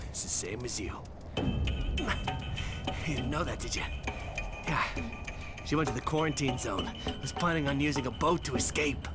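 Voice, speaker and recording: A man's voice: a little high, close to an everyday voice. A man playing a sneering, foul-mouthed captive villain who taunts his captors in a video game cutscene. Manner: mocking, taunting, profane. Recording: loud music under the voice.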